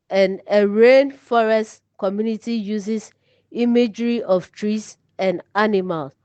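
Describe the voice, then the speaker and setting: Slow, clear-voiced Ghanaian woman teaching. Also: mid-range voice, a little mellow, no room sound. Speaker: teacher presenting an online lesson. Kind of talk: instructional lecture. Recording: slightly dull, lo-fi audio.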